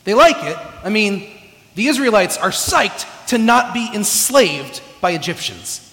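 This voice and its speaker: A man's voice: high-pitched, sing-song, unhurried, bright, forceful tone. A man from California giving a chatty, self-deprecating sermon with humor, often restarting his phrases, in a church sanctuary. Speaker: preacher. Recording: echoey hall reverb.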